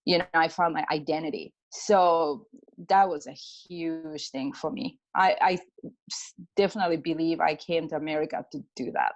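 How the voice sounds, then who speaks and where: A woman's voice: low voice, steady tone, moderate pace, highs cut off. A middle-aged woman from Japan with a Japanese accent, telling personal stories casually and informally as an interview guest. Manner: informal, peppers talk with "like" and acts out quoted exchanges. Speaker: guest, pianist and energy healer.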